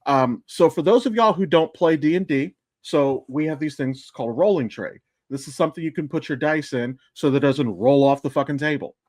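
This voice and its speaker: A man's voice: fairly high pitch, fairly quick, few pauses, a little mellow, no echo. Rambling, foul-mouthed man in casual podcast chat. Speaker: podcast host.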